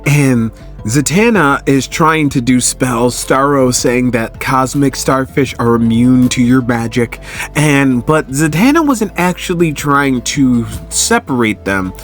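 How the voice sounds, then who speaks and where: Guy doing an excited casual story recap, voice goes up and down a lot, music playing behind him. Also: medium pitch, a little slow. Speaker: comic recap host. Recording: music under the voice. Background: background music.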